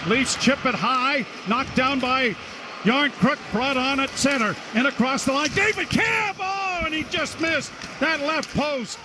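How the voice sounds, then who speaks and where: A man calling hockey live on the radio as play-by-play announcer, in an excitable, clipped running style. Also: very high-pitched, unhurried. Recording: noisy radio broadcast, arena noise.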